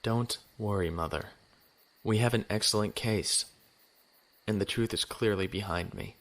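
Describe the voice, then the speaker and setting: Young male space navy lieutenant, twenties, lowish voice, stiffly proper and proud, audio drama. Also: a little slow, a bit bright. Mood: firm, reserved. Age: 20s. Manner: formal, restrained. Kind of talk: science fiction audio drama acting.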